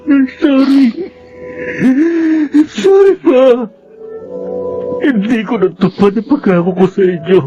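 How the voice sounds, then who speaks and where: An actor with a Filipino accent playing an apologetic, guilt-ridden young adult son in a radio drama, pleading with emotion. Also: male voice, very high voice, big pitch swings, slow pace, pauses often, exaggerated voice. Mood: tearful guilt. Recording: dull lo-fi sound, loud music.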